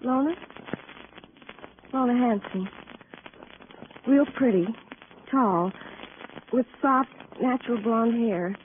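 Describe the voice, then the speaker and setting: High-voiced anxious woman in old radio drama. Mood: shaken, recounting. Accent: American. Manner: emotional, halting, repetitive phrasing. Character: distraught, anxious young working woman pleading for her friend. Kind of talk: 1940s-50s radio drama acting. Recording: old radio recording, narrow band, hiss.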